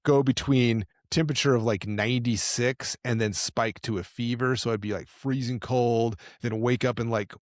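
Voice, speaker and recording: A man's voice: medium pitch, steady tone, normal speed, few pauses, dry recording, clean studio sound. A man co-hosting a podcast, telling a laid-back story while getting over the flu, sounding a little nasal. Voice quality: slightly nasal. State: recovering from flu, lingering cough. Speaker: podcast co-host. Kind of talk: casual podcast chat.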